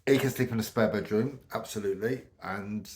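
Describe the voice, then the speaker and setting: A middle-aged British man with a croaky voice from a cold, chatting casually to camera in a rambling way with many ums. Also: on the low side, fairly quick. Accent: British English. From United Kingdom. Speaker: vlogger.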